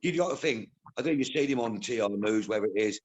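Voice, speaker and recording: A man's voice: on the low side, lively intonation, fast talker. A man speaking emphatically in a casual group video call.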